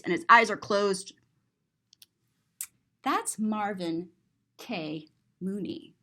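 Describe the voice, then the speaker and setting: A woman reading a picture book aloud to children in an expressive, sing-song way, drawing out words and describing the pictures. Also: fairly high pitch. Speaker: children's story reader and teacher.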